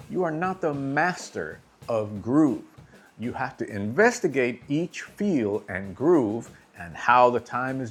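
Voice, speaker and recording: A male drum instructor talks through his ideas in an easygoing, conversational manner. He poses rhetorical questions and checks in with his listeners. His voice sits at a middle pitch, with faint music in the background. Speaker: drum teacher. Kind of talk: instructional talk to camera.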